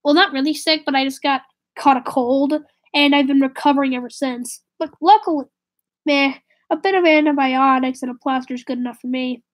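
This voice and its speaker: High-voiced streamer, raspy with a cold. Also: female voice, brisk. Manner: rambling, self-correcting, drifts to a whisper. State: slightly raspy, stuffy nose from a cold. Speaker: live streamer. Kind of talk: casual live stream chat, answering viewers.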